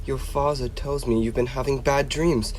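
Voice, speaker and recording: A man's voice: mid-range voice, steady tone, normal speed, slight background noise, natural voice. A man playing a grave, insistent professor who investigates vampires, formal and probing, in a radio drama. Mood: gentle, probing.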